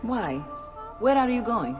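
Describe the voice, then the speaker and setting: A woman's voice: fairly high pitch, big pitch swings, measured pace. A woman voicing a poised, shrewd mentor and madam with an Italian accent. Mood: calm, firm. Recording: long reverb, faint music.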